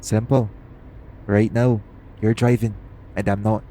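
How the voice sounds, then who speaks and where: A man's voice: fairly low pitch, flat delivery, measured pace, warm tone, natural voice. A man playing a brash, foul-mouthed, cocky assassin in an audio roleplay. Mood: cocky, teasing. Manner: brash, sweary. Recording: clear vehicle noise behind voice. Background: vehicle ride ambience.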